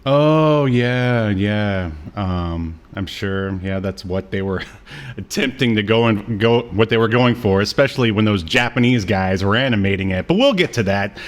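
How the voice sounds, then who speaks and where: A man's voice: fairly low pitch, expressive, measured pace. A man in his late thirties co-hosting a podcast in casual chat, with a jokey, wry manner. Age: late 30s. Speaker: podcast co-host. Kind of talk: casual chat about old cartoons.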